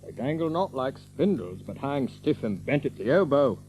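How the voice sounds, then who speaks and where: An actor playing a shrewd, fair-minded outlaw follower in an archaic audio drama, speaking in an observant, deliberate way. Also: male voice, mid-range voice, big pitch swings, a little mellow, faint music behind, close to an everyday voice, low-quality recording. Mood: shrewd appraisal.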